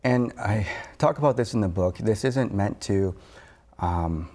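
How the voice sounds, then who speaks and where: A man, a therapist and author, speaking softly and reflectively as a TV interview guest, pausing often with fillers. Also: fairly low pitch. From born in Korea, raised in Wisconsin. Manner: soft-spoken, reflective, halting with fillers.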